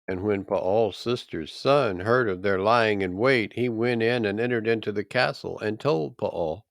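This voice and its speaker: Slow, low-voiced man reading scripture. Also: vocal fry, no room sound, clean studio sound. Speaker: scripture reader. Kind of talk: solemn scripted Bible reading.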